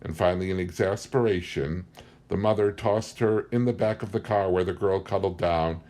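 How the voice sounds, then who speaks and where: A man's voice: deep voice, normal speed, a little mellow. A man reading a book aloud as scripted story narration. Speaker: audiobook reader.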